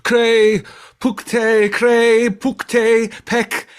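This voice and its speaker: Woman as slow, ringing nonsense-syllable chanter. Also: on the high side, little pitch movement, clean tone. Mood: ritual chanting. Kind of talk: avant-garde nonsense sound-poetry chanting.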